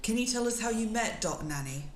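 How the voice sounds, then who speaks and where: A woman's voice: a little high, expressive, fast talker, natural voice. A woman playing a polite, careful podcast interviewer asking questions. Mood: polite, probing. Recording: echoey, distant, noisy room.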